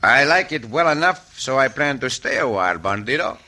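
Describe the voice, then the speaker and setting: An actor playing a bold, gallant Mexican cowboy hero in an old radio western, with a Mexican accent and formal, dramatic phrasing. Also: male voice, mid-range voice, expressive, moderate pace, sharp tone. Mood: steely. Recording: noisy, crackly old radio recording.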